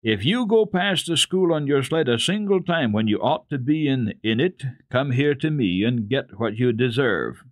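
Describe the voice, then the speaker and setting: A man acts out a stern old mountain grandfather from a children's storybook. He scolds in a measured, kindly way, with pitch that swings emphatically. The sound is close and dry. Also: lively intonation, no room sound, natural voice. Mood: stern warning. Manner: admonishing, measured.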